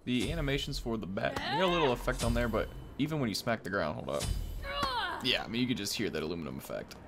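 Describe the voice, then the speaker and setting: Young male gaming host, medium pitch, casual commentary, close mic with game sounds clearly behind. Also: animated, fast talker, very noisy. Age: young adult. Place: indoor room, at a desk. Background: video game ambience.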